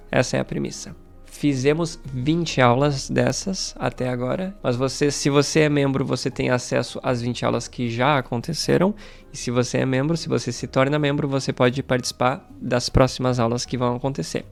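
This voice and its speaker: Brazilian man, creaky casual YouTube teacher. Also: medium pitch. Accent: Brazilian Portuguese. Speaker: Portuguese teacher and YouTube channel host. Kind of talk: casual talk to camera, channel update.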